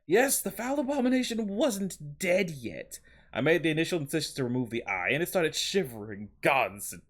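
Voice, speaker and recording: A man's voice: fairly high pitch, big pitch swings, normal speed, fairly bright tone. A man voicing a squeamish, fussy preservationist giving grim testimony in a theatrical, faltering put-on accent that wanders. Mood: queasy, unnerved. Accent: put-on, wandering between several accents. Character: squeamish, fussy taxidermist-like preservationist recounting gruesome job. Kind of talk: dramatic interview testimony reading.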